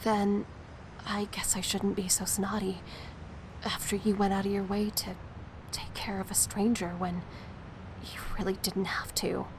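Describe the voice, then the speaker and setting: An actress playing a guarded, snarky young heiress in an audio drama, wary and sardonic. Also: female voice, mid-range voice, flat delivery, a little slow, background noise, close to an everyday voice. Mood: softening, guarded.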